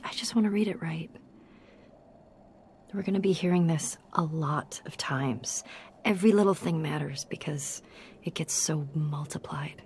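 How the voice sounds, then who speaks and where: A woman playing an earnest perfectionist in a game audio log, speaking in a soft, breathy half-whisper. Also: sits low, unhurried, a little hiss or hum. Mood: quiet, earnest. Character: earnest, perfectionist woman recording solemn readings. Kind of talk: scripted reading and natural dialogue for game audio logs.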